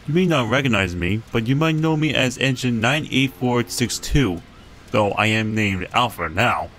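A man's voice: medium pitch, expressive, normal speed, fairly bright tone, slight background noise, natural voice. Man voicing a polite, reserved steam engine.

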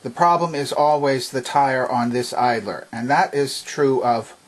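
A man's voice: mid-range voice, unhurried. A man giving a hands-on product demonstration, methodically listing parts and steps as he goes. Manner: methodical, clearly enumerating. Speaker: vintage electronics demonstrator. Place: indoors at a home workbench.

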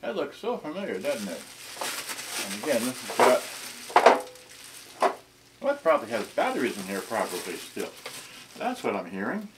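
Man in his sixties, very slow halting delivery, casual unboxing chat, muttering to himself, noisy camera-mic recording with clicks. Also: medium pitch. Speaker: video host, boombox collector. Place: cluttered indoor storage room.

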